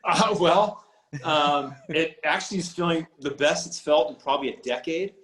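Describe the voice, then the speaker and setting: A man in his forties, a high school football coach, talking casually on a video call, rambling with many restarts and fillers. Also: moderate pace, a bit bright. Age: 40s. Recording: roomy echo on video call audio.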